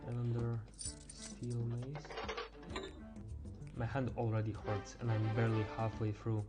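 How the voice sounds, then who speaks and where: A teenage male game streamer thinking aloud in casual live chat, halting and stumbling over words as he goes. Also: mid-range voice, monotone, loud music behind the voice. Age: teens.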